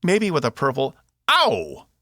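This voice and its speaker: A man performs a cheeky Cockney robot from a sci-fi audiobook. He is vain and theatrical, swinging widely in pitch with a bright, forceful edge. His manner is grandiose and teasing. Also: wildly swooping pitch, moderate pace, no room sound, studio quality, heavily acted. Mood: pompous, self-pitying.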